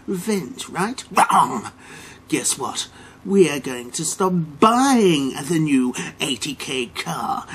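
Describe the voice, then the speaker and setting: A man's voice: on the high side, a little hiss or hum. A middle-aged American man playing an arrogant, vengeful Wall Street banker in a theatrical dramatic reading that moves between whispers and emphatic declarations. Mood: sneering, then defiant, forceful.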